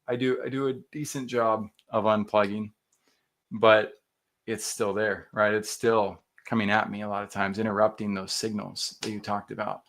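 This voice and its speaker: A man's voice: on the low side, a bit bright. A man hosting a podcast, talking earnestly and animatedly in casual chat, full of fillers and quoted self-talk. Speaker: podcast host.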